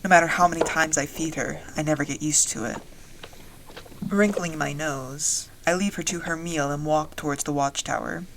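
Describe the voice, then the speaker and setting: Measured, low-key dragon-riding soldier. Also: female voice, steady tone, fairly bright tone, a little hiss or hum, low-quality recording. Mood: composed, watchful. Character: wry, battle-trained fantasy woman soldier who rides dragons. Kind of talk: first-person audio drama narration.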